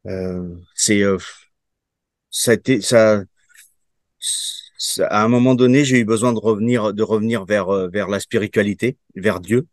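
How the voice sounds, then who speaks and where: A man in his fifties, from Portugal, giving a testimonial in an interview and answering haltingly with many fillers and restarts. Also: lowish voice, steady tone, no room sound. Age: 50s. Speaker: program participant giving a testimonial.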